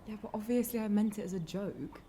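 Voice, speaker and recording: A woman's voice: medium pitch, quick pace, dark tone, a little hiss or hum, lightly acted. A woman playing a guarded, unsentimental young woman in a naturalistic radio play, speaking in an offhand, colloquial way. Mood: defensive.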